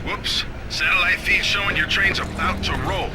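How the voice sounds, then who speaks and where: An actor playing a wisecracking mission support partner in a video game, casual, wry and chatty. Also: male voice, sits high, fairly even intonation, moderate pace, noisy background, natural voice. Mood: urgent, casual. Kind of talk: video game cutscene acting. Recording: loud music over the dialogue.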